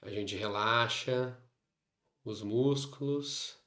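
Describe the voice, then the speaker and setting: A man with a Brazilian Portuguese accent leading a guided meditation, instructing in short phrases separated by long pauses. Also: medium pitch, a bit bright. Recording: roomy, echoing sound.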